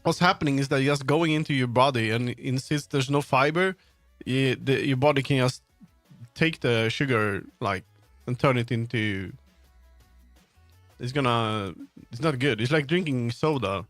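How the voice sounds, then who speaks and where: Male streamer, medium pitch, loose off-the-cuff chat, thinks aloud and trails off, dry close mic over background music. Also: moderate pace, fairly bright tone, no echo. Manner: rambling, thinks aloud, trails off mid-sentence. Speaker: livestreamer, game developer. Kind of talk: casual rambling livestream chat while working.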